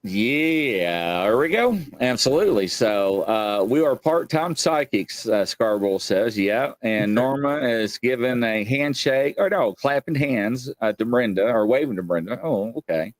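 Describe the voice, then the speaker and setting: A man's voice: mid-range voice, lively intonation, unhurried, hardly any pauses, dry recording. A man in his late forties hosting a casual show, telling rambling, folksy stories emphatically and acting out quoted conversations. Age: late 40s. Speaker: show host. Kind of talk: casual chat with anecdotes and acted-out quotes.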